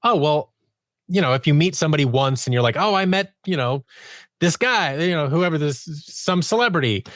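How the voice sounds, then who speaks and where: A man's voice: a little high, expressive, a little fast, fairly bright tone, no echo. Guy being interviewed about his creative work, casual, acts out little asides, dry close sound. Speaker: guest, audio drama writer, producer and actor.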